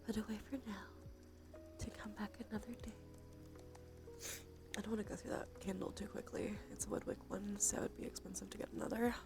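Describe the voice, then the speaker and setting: A woman hosting a cozy ASMR livestream, chatting casually in a soft, breathy, often half-whispered voice with a congested nose, in a laid-back, rambling way. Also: medium pitch, little pitch movement, brisk, music in the background. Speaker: livestream host. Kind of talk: cozy ASMR stream, casual chat to viewers. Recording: clear background noise, ambient sound.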